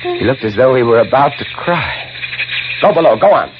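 A man's voice: mid-range voice, very animated, moderate pace, background noise, lightly acted. An actor playing a haunted lighthouse keeper who tells his story with dramatic, suspenseful intensity in an old radio horror drama. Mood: urgent. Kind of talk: 1940s-50s radio horror narration. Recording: dull old radio recording with music. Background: dramatic music.

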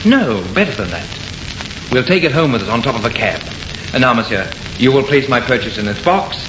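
A man's voice: medium pitch, expressive, close to an everyday voice. Man acting a confident artist, muffled old radio. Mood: amused, scoffing. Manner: brisk, assured, theatrical. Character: confident, scoffing male artist, enthusiastic and unafraid. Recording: muffled, echoey old radio recording, heavy noise.

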